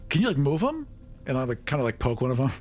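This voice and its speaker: A man's voice: medium pitch, sing-song, fast talker, a little mellow. Informal man in a tabletop game. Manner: informal, colloquial. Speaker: tabletop roleplaying participant. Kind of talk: casual improvised game play and narration.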